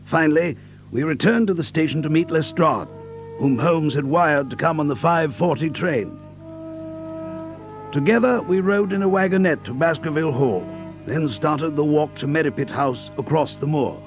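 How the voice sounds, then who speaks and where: An actor playing an earnest gentleman companion who narrates a mystery in an audio drama, grave and suspenseful. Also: on the high side, normal speed, lightly acted. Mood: measured. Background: music.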